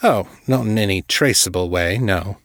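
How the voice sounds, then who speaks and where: A man acts out an urbane vampire lord in his fifties for an audiobook. The voice is very low and closely dry. He speaks formally and without hurry, gently condescending, like someone used to command. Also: no room sound. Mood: disapproving, composed. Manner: formal, unhurried, smoothly chiding.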